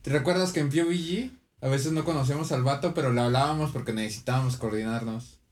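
A young man with a Mexican Spanish accent talks at a middle pitch. He is casual, but he sorts his points into an orderly list and takes them one at a time. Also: quick pace. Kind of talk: casual chat.

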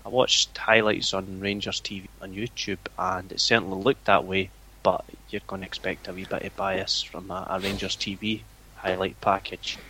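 A Scottish man chatting casually on a podcast as the guest, with a flat, even way of talking that he calls boring. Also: sits low, normal speed, slight background noise, poor audio. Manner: flat, self-described boring voice. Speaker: forum owner, guest. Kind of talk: casual chat.

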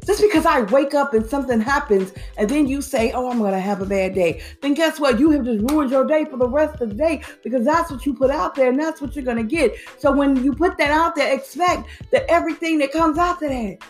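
A woman talking in a motivational, preachy way, hammering points home by repeating them and dropping casual slang. Her voice sits a bit high. Faint music plays under her talk. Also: fairly quick, hardly any pauses. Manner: preachy, repeats phrases for emphasis, slangy asides. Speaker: podcast host.

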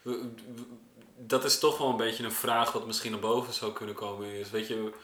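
Hesitant low-voiced man, roomy echo. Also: flat delivery, normal speed, a bit bright. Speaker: podcast discussion participant. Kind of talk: casual conversation. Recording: roomy, echoing sound.

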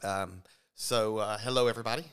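A man co-hosting a casual podcast chat while sick with a runny nose, relaxed and joking, often restarting his sentences. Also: on the low side, fairly quick, clear, resonant tone. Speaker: podcast co-host.

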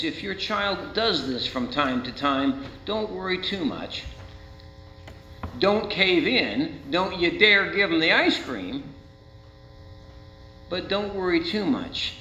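An American man preaching a sermon as a pastor, speaking in rhetorical questions with deliberate dramatic emphasis. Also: high voice, pushed and bright. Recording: roomy, muffled, with faint mains hum.

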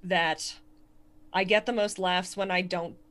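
A young woman from Connecticut with a Connecticut accent, a stand-up comedian answering interview questions quickly and self-deprecatingly, with many restarts. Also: on the low side, steady tone, a bit bright. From Connecticut, USA.

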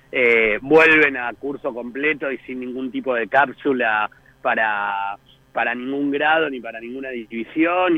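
A man's voice: mid-range voice, no room sound. A male journalist, speaking by phone in an interview and hesitating often with fillers and restarts. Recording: tinny phone line.

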